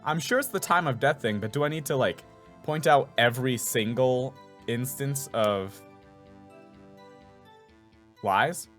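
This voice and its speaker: A man's voice: mid-range voice, measured pace, long pauses, a bit bright, soft background music. A man giving casual let's play commentary, muttering asides and repeating words to himself. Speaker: voice actor playing a video game.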